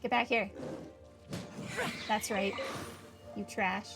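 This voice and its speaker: A woman's voice: mid-range voice, steady tone, takes it slowly, gravelly, over music. A woman streamer giving casual playthrough commentary with dry, wry asides. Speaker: gaming streamer.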